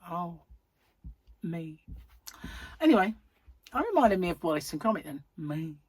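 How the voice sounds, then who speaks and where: A woman hosting a crochet channel, chatting in a rambling, self-mocking way at home while her voice is croaky from a cold. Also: fairly low pitch, sing-song, measured pace, darkish tone, no room sound. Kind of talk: casual chatty vlog. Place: at home, seated in a chair.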